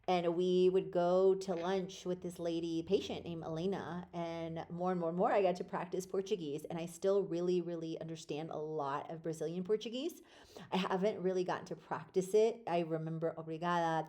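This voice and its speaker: Low-voiced woman telling her life story. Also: warm tone. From born in Poland, raised in the United States. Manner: rambling, reflective, with many fillers and restarts. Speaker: podcast host. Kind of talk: casual personal storytelling.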